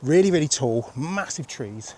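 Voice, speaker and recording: A man in his fifties, throaty and husky from a cold, chatting casually and rambling with easy laughs as he vlogs on a rainy countryside walk. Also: a little high, expressive, normal speed, darkish tone. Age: 50s. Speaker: vlogger. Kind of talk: casual walking vlog.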